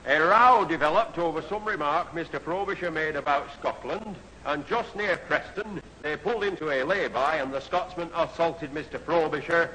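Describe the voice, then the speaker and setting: Long-suffering bobby, officious and weary, lightly hissy old radio sitcom recording. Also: male voice, a little high, normal speed, hardly any pauses, sharp tone. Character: long-suffering, officious English police constable. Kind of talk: 1970s radio sitcom acting. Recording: reverberant, slightly noisy old radio recording.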